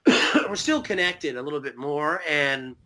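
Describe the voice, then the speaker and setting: Casting note: thirties male guest, somewhat high voice, loose rambling delivery, swears casually. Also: lively intonation, slow, pushed and bright. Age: 30s. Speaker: podcast guest. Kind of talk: casual chat.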